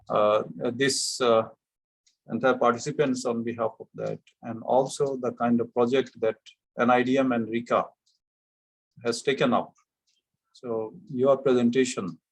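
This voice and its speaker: A man with an Indian English accent offers formal remarks on a video call. He speaks haltingly, filling his pauses often. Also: medium pitch, creaky, low-quality recording. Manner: halting, formal, many fillers. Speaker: training participant. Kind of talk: online training Q&A.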